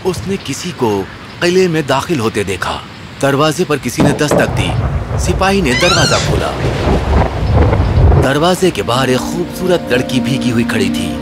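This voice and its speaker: A male narrator reads a children's fairy tale from a script. His delivery moves widely in melody at a middle pitch, with music playing underneath. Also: very animated.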